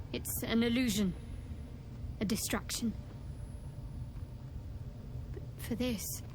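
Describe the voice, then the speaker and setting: An actress playing a guarded, devout cleric in a fantasy video game, speaking in a measured, confiding and solemn way. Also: female voice, medium pitch, noisy background, close to an everyday voice. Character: guarded, devout female cleric companion, secretive and earnest.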